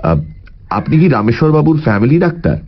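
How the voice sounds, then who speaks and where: An actor playing a polite, probing private detective in an audio story, with courteous, measured questions. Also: male voice, a little high, big pitch swings, muffled recording. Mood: calm, inquiring.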